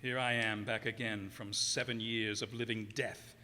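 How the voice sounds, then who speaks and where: An actor playing a bitter, menacing cursed sea captain in a radio play, with grandiose, archaic thee-and-thou speech. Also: male voice, fairly low pitch, level tone, normal speed, sharp tone. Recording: echoey hall stage recording.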